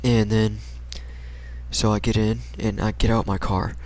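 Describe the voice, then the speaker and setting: A man's voice: lowish voice, little pitch movement, a little slow, a little mellow, rough recording. A young American man casually telling a personal story in a rambling, slangy, hesitant way. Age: young adult.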